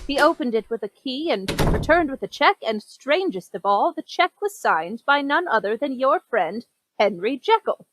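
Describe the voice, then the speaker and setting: Woman as high-voiced, formal Victorian storyteller. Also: very animated, normal speed, a bit bright, exaggerated voice, low-quality recording. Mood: recounting, intrigued. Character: indignant, discreet Victorian gentleman recounting a strange encounter. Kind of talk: gothic radio play acting.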